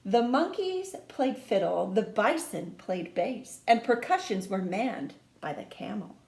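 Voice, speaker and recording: A woman reading a children's storybook aloud in an expressive, sing-song storytime manner, speaking to young listeners like a teacher. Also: mid-range voice, a little slow, creaky. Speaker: music teacher reading a picture book to children. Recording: echoey room.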